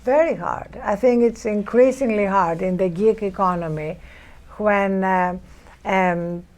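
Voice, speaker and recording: A woman's voice: medium pitch, a little slow, a little mellow. Greek-accented woman on a panel. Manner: hesitant, many fillers and restarts. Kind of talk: panel discussion.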